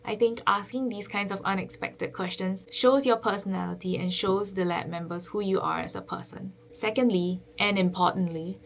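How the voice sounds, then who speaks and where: A young woman from Singapore, a PhD student, giving earnest, measured advice to camera. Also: lowish voice. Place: office.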